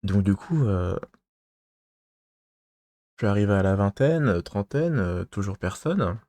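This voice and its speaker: A man from France giving advice in a casual monologue to his viewers, halting and rambling, pausing constantly and losing his thread. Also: lowish voice, animated, dark tone, no echo. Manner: loses his thread mid-talk. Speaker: vlogger giving dating advice.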